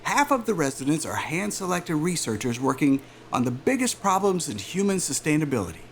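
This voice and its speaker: An actor playing an affable, chatty male corporate interviewer in an audio drama, jovial and smooth, with hesitant asides. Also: a little high, sing-song, a little fast, a little hiss or hum. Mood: smooth pitch. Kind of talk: naturalistic audio drama acting.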